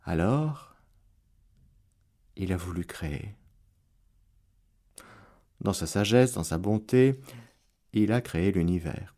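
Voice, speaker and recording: A male priest giving a radio sermon, preacherly, rising to loud exclamations and dropping to hushed prayer. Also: low-pitched, lively intonation. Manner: slow, deliberate delivery. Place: radio studio.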